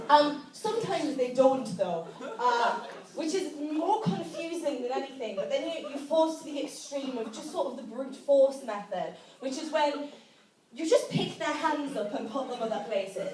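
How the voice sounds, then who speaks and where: High-pitched Geordie woman doing live stand-up. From Newcastle area, North East England. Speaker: stand-up comedy performer. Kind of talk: live stand-up comedy monologue. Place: live indoor event with an audience. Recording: echoey room, distant mic, audience noise. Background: audience laughter.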